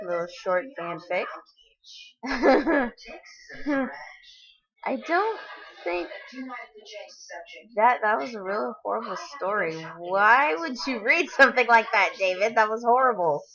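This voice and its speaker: A woman giving casual reaction commentary in a giggly, halting manner. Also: on the high side, lively intonation, lo-fi sound, a crowd in the background. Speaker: reaction video host.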